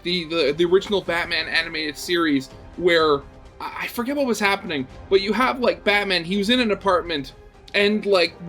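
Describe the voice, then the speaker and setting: High-voiced rambling young man. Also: fairly bright tone. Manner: rambling, heavy with "like" fillers and restarts. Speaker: reaction video host. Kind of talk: casual commentary reacting to a video.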